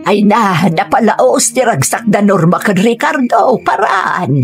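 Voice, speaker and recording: An actress playing a sharp-tongued, gossipy village wife in an Ilocano radio drama, with an expressive, nagging delivery and an Ilocano accent. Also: female voice, mid-range voice, measured pace, over music. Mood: gossipy curiosity.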